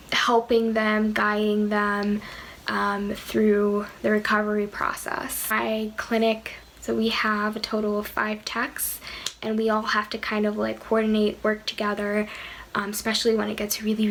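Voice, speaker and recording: A quiet-voiced woman in her twenties talking casually to camera, hesitant and full of fillers. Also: medium pitch, gravelly, slight background noise, poor audio. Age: 20s. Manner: soft-spoken, hesitant with many fillers. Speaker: vlogger.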